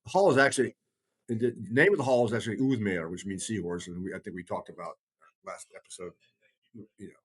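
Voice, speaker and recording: Male co-host with a low, rough-edged husky voice, halting and conversational, thinking aloud. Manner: halting, circling back, repeats himself. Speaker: podcast co-host, heathen group leader. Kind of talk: casual rambling chat.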